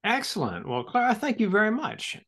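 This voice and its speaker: A man's voice: high-pitched, huge pitch swings, brisk, creaky, fairly bright tone, husky. A man in his fifties hosting an online club meeting, friendly and upbeat, often repeating words. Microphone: video call.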